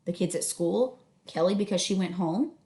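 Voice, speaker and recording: A woman reading a children's book aloud as a teacher, instructive, pausing to put questions to students. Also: fairly low pitch, warm tone. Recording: echoey room.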